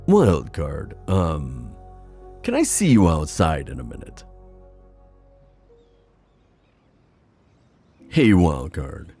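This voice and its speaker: A man playing a proud, protective sea god and father in a fantasy ASMR roleplay, musing haltingly with sighs. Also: a bass voice, wildly swooping pitch, dark tone, faint music behind, exaggerated voice. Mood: confused, moved.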